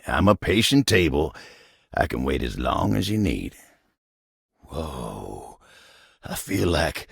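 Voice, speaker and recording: Gravelly, slow-drawling folksy table-man. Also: low-pitched, dry recording, clean studio sound, exaggerated voice. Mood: flirty, patient, then awed. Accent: American. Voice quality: gravelly, husky. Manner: folksy drawl, tender and unhurried. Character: gruff, folksy, tender male table turned human, patient cowboy type. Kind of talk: video game character dialogue.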